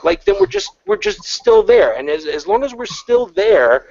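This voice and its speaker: A man's voice: sits high, wildly swooping pitch, normal speed, gravelly, darkish tone, no echo. Rambling man on a lo-fi call. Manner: rambling, heavy on fillers and restarts. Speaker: panel guest. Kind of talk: casual online panel chat. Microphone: video call. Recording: lo-fi online call audio.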